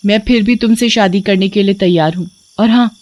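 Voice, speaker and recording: A woman's voice: medium pitch, a little fast, a little mellow, dull audio, natural voice. Woman voicing a coaxing witch bride. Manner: coaxing, then menacing. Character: seductive female witch, cruel and bloodthirsty.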